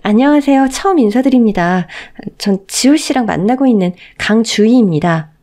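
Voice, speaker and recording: A woman's voice: fairly high pitch, animated, normal speed, dark tone, natural voice. A woman voicing a polite, nervous young bride-to-be in a radio story drama, deferential and hesitant. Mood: polite, timid.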